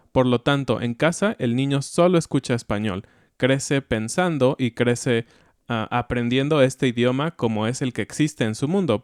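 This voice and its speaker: A man with a Mexican accent co-hosting a podcast, explaining language topics in a calm, reflective way. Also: medium pitch, clear, resonant tone, no echo, clean studio sound. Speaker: podcast co-host. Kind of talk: educational podcast chat.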